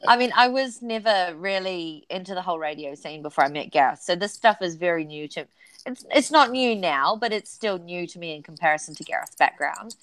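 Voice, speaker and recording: A woman's voice: on the low side, lively intonation, fairly quick, a bit bright, dry recording. A woman from New Zealand, a podcast co-host and guest, chatting cheerfully and giggling as she rambles. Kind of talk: casual chat. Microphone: close USB mic.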